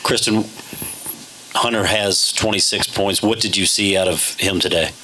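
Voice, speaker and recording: A man's voice: deep voice, fairly even intonation, normal speed, pushed and bright, a little hiss or hum, low-quality recording. A man as a sports reporter asking short, direct questions at a press conference.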